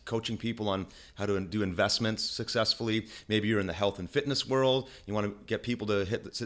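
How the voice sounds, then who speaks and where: A man with a deep voice giving a casual screen-recorded tutorial. He talks in an energetic, chatty way, restarts his sentences and keeps asking "okay?". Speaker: online marketing instructor. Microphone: desk microphone.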